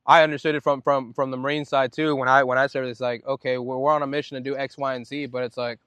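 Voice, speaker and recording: A soft-spoken man from Oceanside, California, speaking as a podcast guest. His voice is smooth and resonant at a middle pitch. He talks reflectively, with frequent restarts, and the sound is close and dry. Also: little pitch movement, fast talker, no room sound. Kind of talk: casual podcast conversation.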